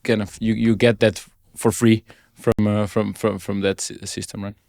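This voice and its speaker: A man's voice: fairly low pitch, little pitch movement, moderate pace, creaky, darkish tone, dry recording, studio quality. A man hosting an interview in a conversational style, feeling his way tentatively through questions and rephrasing them. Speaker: podcast host, interviewer.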